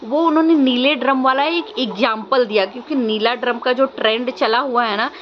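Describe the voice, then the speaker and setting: High-pitched young woman vlogger, muffled audio. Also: moderate pace. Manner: insistent, questioning, repeats phrases. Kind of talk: casual opinion commentary to viewers. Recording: muffled lo-fi audio, faint background noise.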